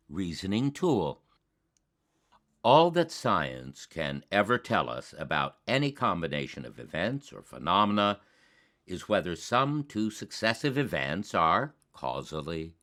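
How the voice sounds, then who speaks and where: A man presenting his own program in a deliberate, formal, bookish way, with emphatic pauses in a measured monologue. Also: deep voice, sharp tone.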